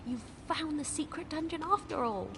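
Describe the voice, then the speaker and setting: Woman playing a creaky-voiced, formal game lady. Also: high voice, animated, normal speed, noisy background. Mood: courteous. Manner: formal, courteous. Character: polite, formal lady client in a detective game. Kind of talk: video game character acting.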